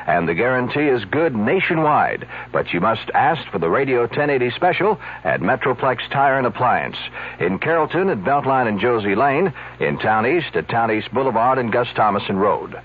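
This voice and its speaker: Husky male radio ad announcer. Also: medium pitch, sing-song, normal speed. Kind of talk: read commercial announcement. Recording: tinny lo-fi radio broadcast.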